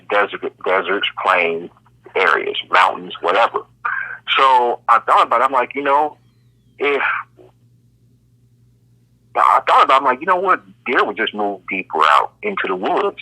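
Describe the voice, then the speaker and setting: Middle-aged male guest, American accent, low-pitched, forceful and sharp, rambling casual storyteller on a phone line. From Cincinnati, Ohio, United States. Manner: rambling, repeats phrases, often says "you know". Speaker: interview guest.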